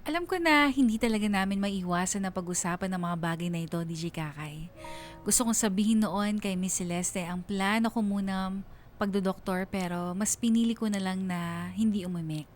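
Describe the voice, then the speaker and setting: A woman's voice: medium pitch, normal speed, faint music behind, lightly acted. A woman playing a reflective young woman narrating her love story on radio, with a Filipino accent. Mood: regretful. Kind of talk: dramatized letter narration on radio.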